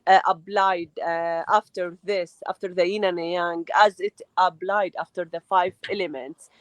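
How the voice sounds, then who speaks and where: Woman, loud voice, keeps pausing and restarting, dry room. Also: lowish voice, steady tone, no echo. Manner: hesitant, many fillers and restarts. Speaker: guest. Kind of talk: casual chat.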